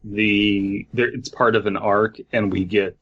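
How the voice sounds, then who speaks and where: A man's voice: on the low side, level tone, unhurried, fairly bright tone, breathy edge. A man co-hosting a podcast, chatting casually and reading a story aloud, on a dull lo-fi microphone. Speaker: podcast co-host.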